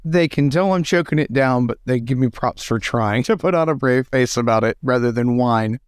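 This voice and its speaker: A man's voice: medium pitch, moderate pace, clean tone, dry recording, studio quality. A man chatting casually as a player in a tabletop role-playing game, improvising his character's story.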